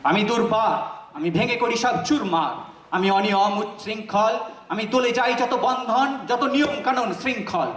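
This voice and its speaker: A man's voice: high-pitched, normal speed, fairly bright tone. A man reciting poetry dramatically, in an impassioned, emphatic, declamatory manner. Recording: roomy echo, distant microphone.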